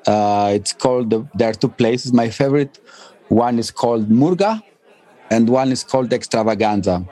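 A man, giving casual interview answers as a DJ in halting, English. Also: mid-range voice, lively intonation, dark tone. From Albania and northern Greece. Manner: halting non-native English phrasing. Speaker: interview guest, DJ and music producer.

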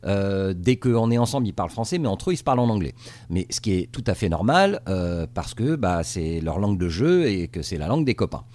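Lively hesitant man, dry close mic. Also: low voice, fairly quick, dry recording. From Tunisia. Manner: hesitant, many fillers and restarts. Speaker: TV journalist based in the US. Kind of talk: casual interview answers.

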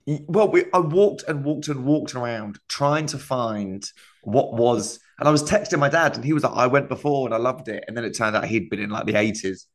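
A man's voice: mid-range voice, fairly quick. Rambling, laughing podcast storyteller man. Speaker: podcast co-host, comedian. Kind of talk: casual chat.

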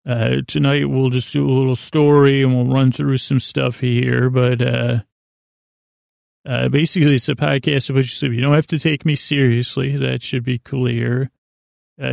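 A man with a creaky voice hosting a sleep podcast, rambling in a halting, meandering monologue with a self-deprecating, gently absurd manner. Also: mid-range voice, no room sound, studio quality. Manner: meandering, halting, circling back on himself. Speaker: podcast host.

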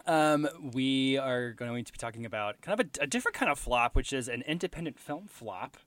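Male podcast co-host, casual and chatty, animated pitch, bright tone, dry sound. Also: a little fast, no echo. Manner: chatty, laughing, trailing restarts. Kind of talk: casual chat.

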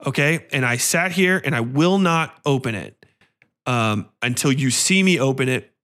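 A man's voice: medium pitch, lively intonation, fairly bright tone, close to an everyday voice. Man acting out a nervous, overly polite houseguest. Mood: nervous, courteous. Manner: careful, halting, over-explaining. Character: nervous, overly polite young male houseguest, easily startled.

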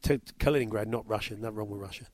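A man's voice: on the low side, racing. A man co-hosting a podcast, chatting casually with a dry, joking manner. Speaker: podcast co-host. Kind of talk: casual chat. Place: indoors, travelling abroad.

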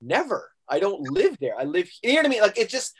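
A lively, slightly high-voiced man from Hong Kong talks casually in an interview. He tells stories with energy and acts out both sides of a conversation. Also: quick pace, slightly rough. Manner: animated storyteller, acting out quoted exchanges. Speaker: guest musician.

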